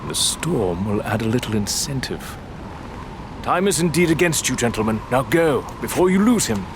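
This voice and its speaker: Casting: male actor as haughty, greedy lord for audio drama; mid-pitched, very animated, barks clipped orders over clear outdoor noise. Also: moderate pace, natural voice. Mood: coldly goading. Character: imperious aristocratic lord, greedy and commanding.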